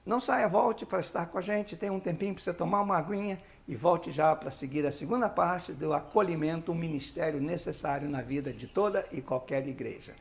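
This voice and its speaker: Gravelly Brazilian pastor, higher-pitched male voice. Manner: didactic, emphatic, conversational with rhetorical questions. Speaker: pastor teaching a church leadership course. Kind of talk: instructional video teaching with sermon-like exhortation.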